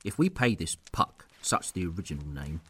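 A man's voice: low-pitched, very animated, normal speed, a bit bright, slightly rough, full character voice. A man playing a stern but loving noble lord and father in an audio drama, speaking in a formal, archaic, commanding way. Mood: firm, resolved.

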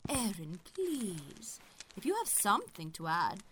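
Woman acting a wildly expressive, motherly duchess. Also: medium pitch, measured pace, slight background noise, rough recording. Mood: gently chiding. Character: motherly, fussing duchess who manages her household kindly. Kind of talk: audio drama acting.

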